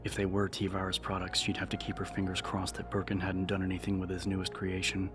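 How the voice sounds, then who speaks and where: Male narrator, low-pitched, monotone delivery, scripted horror fiction audiobook, clear music bed. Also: fairly quick.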